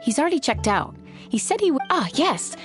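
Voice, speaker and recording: Animated, obliging innkeeper. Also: male voice, extremely high pitch, fairly quick, faint music behind, heavily acted. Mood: helpful. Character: helpful, businesslike male innkeeper. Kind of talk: video game voice acting.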